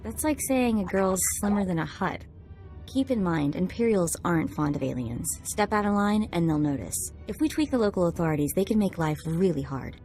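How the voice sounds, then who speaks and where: A wry young sidekick. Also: female voice, lowish voice, expressive, darkish tone. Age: young adult. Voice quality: quick and wry. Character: young spirited woman sidekick slicer. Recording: music behind.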